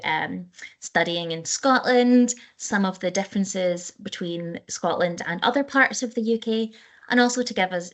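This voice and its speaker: A woman welcoming the audience and introducing her colleagues before a university presentation. Her voice sits at a medium pitch, and she is friendly and a little hesitant, with frequent "um"s. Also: a bit bright. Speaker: university representative.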